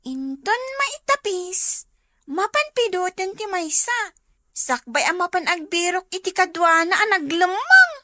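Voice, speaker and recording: Young child, radio drama: very high, lively swoops, chatty, whiny. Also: female voice, unhurried, dry recording, clean studio sound, exaggerated voice. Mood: eager, cheerful. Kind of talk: Ilocano radio drama acting.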